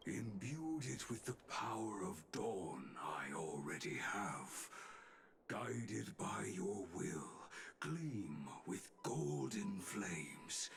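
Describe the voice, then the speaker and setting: An actor playing a cryptic, solemn craftsman creature in a fantasy video game, with halting, inverted, ceremonial phrasing. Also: sits low, big pitch swings, vocal fry, slight background noise, exaggerated voice. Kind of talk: scripted video game dialogue acting.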